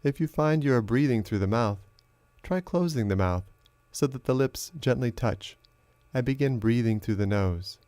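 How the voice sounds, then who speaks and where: A man narrating a guided meditation as a teacher, in a slow, measured, instructional way with long pauses. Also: mid-range voice, expressive, darkish tone, dry recording.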